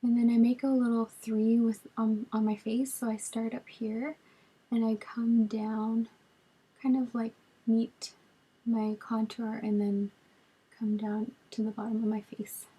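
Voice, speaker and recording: A woman in her twenties, raspy and a bit hoarse from a cold, walks through her makeup routine in a relaxed, chatty way. Her voice is low-key and mellow, flat in tone, and unhurried. She talks to a webcam in a small bedroom. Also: rough recording. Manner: relaxed, chatty, lots of ums while applying makeup. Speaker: beauty vlogger. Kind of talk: makeup tutorial chat. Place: small bedroom with storage shelves, indoors. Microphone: webcam at arm's length.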